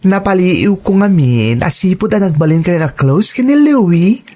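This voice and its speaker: A woman's voice: lowish voice, sing-song, moderate pace, warm tone. A woman with an Ilocano Filipino accent playing a nosy, teasing female friend in a drama. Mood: suspicious, needling. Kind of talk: Ilocano radio-style drama acting.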